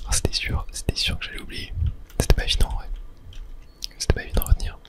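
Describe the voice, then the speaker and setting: A person whispering ASMR commentary over a video game on a close mic, thinking aloud hesitantly and correcting themselves as they go. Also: quick pace. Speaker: gaming video host.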